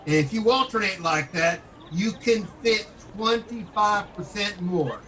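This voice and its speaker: A man playing an earnest, folksy, nostalgic street interviewee in an improv comedy sketch, telling his tale deliberately from a distant mic. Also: sits high, expressive, fairly bright tone. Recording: distant mic, faint background noise.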